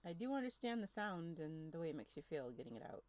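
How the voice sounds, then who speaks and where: A woman playing a story game on stream, reading and commenting in a halting, muttering way. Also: deep voice, sing-song, darkish tone, a little hiss or hum. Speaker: streamer playing a horror visual novel.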